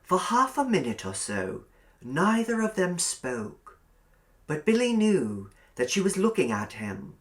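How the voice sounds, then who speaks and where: A man's voice: high voice, huge pitch swings, measured pace. A man reading a short story aloud as a scripted audiobook narrator.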